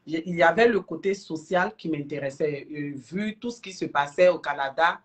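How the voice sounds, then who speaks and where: A middle-aged woman with an Ivorian accent, speaking earnestly and deliberately as a guest in a livestream interview. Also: deep voice. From Ivory Coast. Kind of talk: explanatory interview talk on a livestream.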